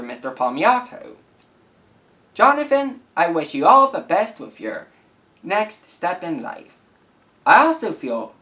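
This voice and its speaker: Male, late teens, video creator. Highly varied intonation, earnest and careful, slightly halting casual read. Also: bright, forceful tone. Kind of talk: casual vlog, reading messages aloud.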